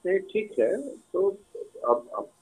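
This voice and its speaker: A man's voice: sits high, lively intonation, takes it slowly, slightly rough. A man answering a phone call as an official, in brief, matter-of-fact replies.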